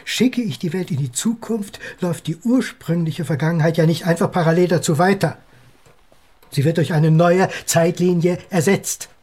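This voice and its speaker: Eccentric male time-travel theorist for radio drama, played by an actor: raspy, higher-pitched, smug, insistent, impatient with slower minds. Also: a little mellow, natural voice. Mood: impatient, condescending. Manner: lecturing, condescending, insistent. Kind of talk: German radio drama dialogue.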